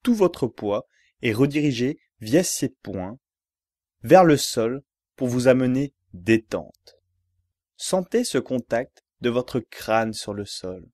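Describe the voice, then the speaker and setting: A man giving guided yoga relaxation instructions in a measured, repetitive, step-by-step manner. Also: medium pitch, animated, a little mellow, dry recording, clean studio sound.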